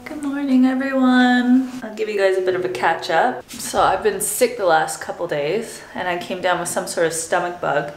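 A woman's voice: medium pitch, moderate pace, hardly any pauses, soft background music. A young woman vlogging to a selfie camera at arm's length, chatty and joking as she narrates her day. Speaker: sailing vlogger. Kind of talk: casual vlog chat.

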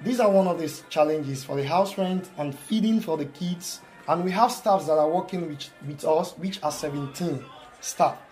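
Guy in his thirties explaining stuff in an interview, highish voice, faint music behind. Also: normal speed, a little mellow. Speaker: orphanage staff being interviewed. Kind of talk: spoken interview answer. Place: small indoor room.

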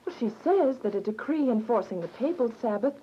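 A woman's voice: fairly high pitch, animated, brisk, husky. A young woman playing an earnest, devout teenage daughter who narrates a radio drama. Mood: hesitant, earnest. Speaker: narrator and lead character. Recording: muffled radio drama, music behind.

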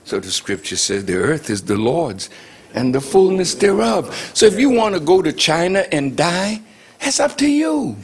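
Animated older man preaching. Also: sits high, moderate pace. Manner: preaching with rising shouts and acted mocking voices. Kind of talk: sermon.

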